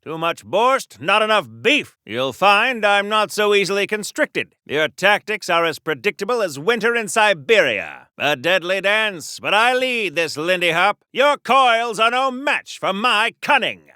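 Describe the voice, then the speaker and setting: An actor playing a pompous, gung-ho American superhero from a golden age comic in a video game, declaiming grandly like a 1940s radio serial hero. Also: male voice, sits high, very animated, measured pace, hardly any pauses, pushed and bright, smooth, no echo, clean studio sound, heavily acted. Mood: defiant, heroic. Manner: grandiose, theatrical, florid declamation.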